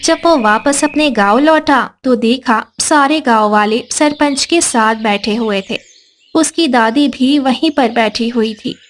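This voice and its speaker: A woman narrating a cartoon story in a steady storytelling manner. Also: fairly high pitch, measured pace, slight background noise.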